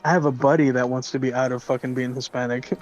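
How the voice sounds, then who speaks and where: A man's voice: medium pitch, steady tone, a little fast. A man chatting casually in a probing way, often repeating his questions. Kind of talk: casual chat. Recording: slightly dull lo-fi mic, music behind. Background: music.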